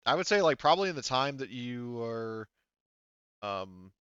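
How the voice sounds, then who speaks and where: A man's voice: mid-range voice, very animated, measured pace, fairly bright tone, smooth, no echo. A man acting as game master, casually and somewhat hesitantly wrapping up a tabletop session over a slightly dull recording.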